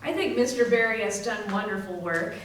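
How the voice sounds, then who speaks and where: A woman portrays an ailing young worker, narrating in readers theater style. The medium-pitched voice is distant and very reverberant, with a little background hiss. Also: fairly quick, natural voice. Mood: grateful. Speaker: community theatre performer. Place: library readers theater performance, indoors. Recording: very echoey hall, distant, faint hiss.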